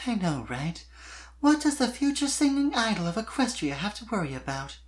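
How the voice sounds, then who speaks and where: A narrator voicing a sweet, shy young mare pony who speaks softly and a little hesitantly in a fan fiction reading. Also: medium pitch, sing-song, moderate pace, voice pushed up, lightly acted. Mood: wistful. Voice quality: soft voice.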